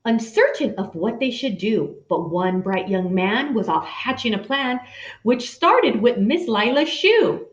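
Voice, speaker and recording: A woman reads a picture book to young children in a fairly high voice. Her delivery is slow, dramatic and very animated, pitched straight at her small listeners. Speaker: children's story reader. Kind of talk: expressive picture-book read-aloud with asides. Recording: dull sound in echoey room.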